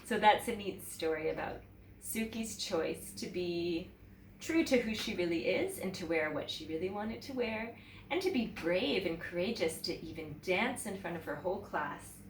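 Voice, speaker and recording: A woman teacher reading a children's story aloud in an expressive, animated way, pausing to check in with listeners. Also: lowish voice. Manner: expressive, pauses to ask listeners questions. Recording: roomy echo, distant mic, faint noise.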